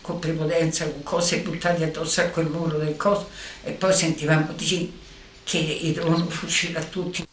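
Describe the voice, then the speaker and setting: A woman's voice: deep voice, little pitch movement, normal speed. An older woman with a Roman accent giving spoken testimony as a witness. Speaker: witness recalling wartime. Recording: roomy, slightly noisy archive interview.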